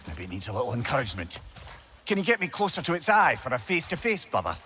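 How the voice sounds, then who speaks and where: An actor playing a talkative, tender-hearted old severed head in a video game, wry, eloquent and emotive. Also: male voice, medium pitch, huge pitch swings, fast talker, background noise. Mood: gentle, regretful. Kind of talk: cinematic video game acting.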